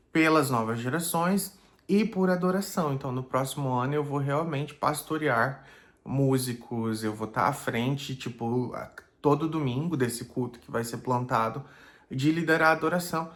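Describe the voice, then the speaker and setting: Reflective pastor talking casually. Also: male voice, mid-range voice, a bit bright. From Brazil. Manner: thoughtful, many fillers like "né" and "é".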